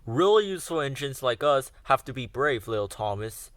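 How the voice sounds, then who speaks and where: A man's voice: medium pitch, sing-song, normal speed, a bit bright, no echo. A man doing a huffy, pompous big engine. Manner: huffy, grumbling. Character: proud, pompous big male steam engine.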